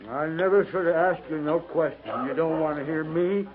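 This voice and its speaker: An actor playing a simple, food-loving cavalry trooper in a 1950s radio western, dry and folksy, with a rural American accent. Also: male voice, fairly high pitch, moderate pace, crowd noise, lightly acted. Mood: dry, grumbling. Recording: tinny, faintly noisy 1950s radio recording.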